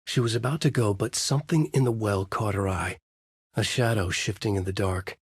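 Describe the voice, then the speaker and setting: An actor playing a brooding, haunted male writer who gravely narrates his own horror story in a husky voice for a video game. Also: fairly low pitch, moderate pace, no room sound, clean studio sound. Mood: brooding, ominous.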